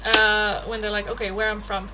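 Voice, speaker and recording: A woman's voice: medium pitch, level tone, moderate pace, very noisy. A young Dutch woman with a Dutch accent, chatting casually on a livestream and reading oracle cards aloud. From Netherlands. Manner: chatty, laughs often, swears casually, reads card text aloud. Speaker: tarot reader hosting a livestream.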